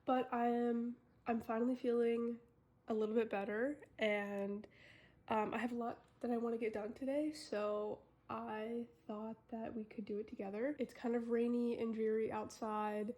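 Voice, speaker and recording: A woman's voice: a little high, level tone, normal speed, mellow. Congested young woman chatting in her studio. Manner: chatty, self-deprecating, apologetic. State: congested with a cold. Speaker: artist vlogger. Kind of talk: casual chat to camera. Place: home art studio. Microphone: phone as microphone.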